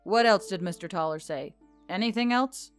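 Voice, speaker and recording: A woman voicing a wry, weary male boss of a magical tech office in an audiobook story, speaking in a measured, murmuring way. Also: fairly low pitch, faint music behind, close to an everyday voice. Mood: probing. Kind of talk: audiobook character dialogue.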